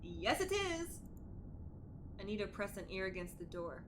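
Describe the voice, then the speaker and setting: A woman streamer reading a visual novel aloud in a dramatic, expressive and exclamatory way. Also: mid-range voice, unhurried, background noise. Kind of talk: dramatic read-aloud with playful reactions. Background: music.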